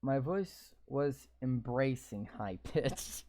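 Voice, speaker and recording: A man's voice: mid-range voice, sing-song, drawn-out words, background noise. A man reading a visual novel aloud, adding casual asides and stumbling over words. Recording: game music playing under voice.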